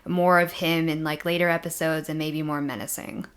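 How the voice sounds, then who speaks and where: A woman's voice: sits low, flat delivery, moderate pace, fairly bright tone, clear, resonant tone. A woman hosting her own channel, chatting casually with friends, with lots of ums and easy laughter. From Mexico. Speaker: channel host. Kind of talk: casual show discussion with friends.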